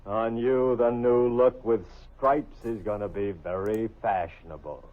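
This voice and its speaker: A tough, weary police detective voiced by an actor in a vintage radio drama. He grumbles gruff wisecracks in a low voice. The recording is dull and muffled. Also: fairly even intonation, measured pace, close to an everyday voice. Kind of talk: 1950s radio drama acting.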